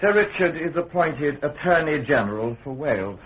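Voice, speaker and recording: An actor with a British accent playing a hostile, pompous state prosecutor, insistently cross-examining in a radio drama. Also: male voice, fairly high pitch, expressive, moderate pace, narrow, tinny audio, close to an everyday voice. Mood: curt, official. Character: hostile, sardonic state prosecutor, forceful and scheming. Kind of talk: radio drama courtroom acting.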